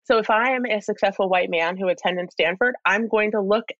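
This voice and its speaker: A woman's voice: medium pitch, brisk, a bit bright, no echo, highs cut off. A woman speaking as an interview guest, explaining her points conversationally and thoughtfully, with emphasis as she lists them. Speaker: interview guest, expert commentator.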